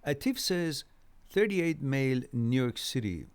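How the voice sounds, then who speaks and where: A middle-aged man whose first language is Farsi, hosting an informal live question-and-answer lecture on mental health, explaining in a halting way with pauses. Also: mid-range voice, lively intonation, clean tone.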